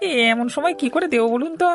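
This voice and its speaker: Woman acting a high-voiced, humble fishing villager. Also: fast, close to an everyday voice. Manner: deferential, plaintive. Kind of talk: Bengali cartoon dialogue.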